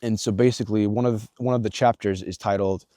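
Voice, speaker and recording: A young man talks through a casual self-help monologue in a lowish, mellow voice, blunt and profane, with lots of fillers and restarts. The sound is dry and close, with no room ring. He coaches the listener in an earnest tone. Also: fairly even intonation, fairly quick, dry recording. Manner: blunt, heavy swearing, many fillers and restarts; earnest, coaching tone aimed at viewer. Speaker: self-improvement vlogger.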